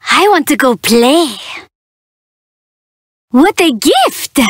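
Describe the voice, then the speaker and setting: A woman's voice: sits high, no echo, clean studio sound, exaggerated voice. A woman voicing a playful, cheeky young half-tiger catgirl thief for a video game, perky, teasing and theatrical. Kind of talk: video game character voice lines.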